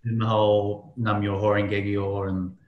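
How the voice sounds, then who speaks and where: A man's voice: lowish voice, little pitch movement, measured pace, echoey room, muffled recording. A man hosting a podcast interview, chatting loosely, telling stories with a laugh and some swearing. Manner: storytelling, swears freely, laughs while talking. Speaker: podcast host. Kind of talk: casual interview chat.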